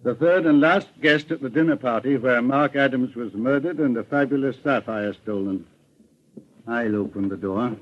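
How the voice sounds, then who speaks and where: An actor playing a courteous, authoritative private investigator in a 1940s radio drama, speaking in formal, measured questions. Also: male voice, medium pitch, slight background noise, natural voice. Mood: firm, resolute. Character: composed, courteous older male private investigator, probing and authoritative. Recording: dull old-time radio broadcast recording.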